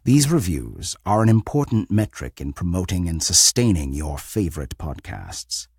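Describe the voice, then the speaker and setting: A man with a very deep, rough-edged voice hosts an audio drama anthology. His scripted narration is formal and theatrical, swinging widely in pitch, and recorded close and dry. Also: sing-song, darkish tone, dry recording. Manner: theatrical, formal, deliberate phrasing.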